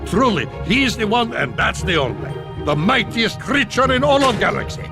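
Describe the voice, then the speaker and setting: High, swooping mad alien scientist. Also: male voice, very animated, normal speed, gravelly, over music, full character voice. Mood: proud, gleeful. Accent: mock Russian. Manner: theatrical, grandiose, broken grammar. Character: eccentric boastful alien mad scientist, adult male. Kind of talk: animated video game voice acting.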